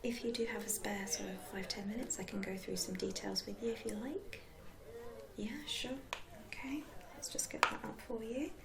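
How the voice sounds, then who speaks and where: A woman playing a friendly, helpful sports centre receptionist in a soft, unhurried whispered ASMR roleplay. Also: medium pitch, gravelly, a crowd in the background, lightly acted. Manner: soft-spoken, whispering, unhurried, hesitant fillers.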